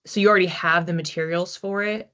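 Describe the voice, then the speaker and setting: A woman with a fairly low voice talks casually to her audience as she hosts an intuitive reading. She thinks aloud in informal, conversational phrases. The recording is a little dull and lo-fi. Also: steady tone, quick pace, a bit bright. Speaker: psychic reader hosting a weekly collective reading.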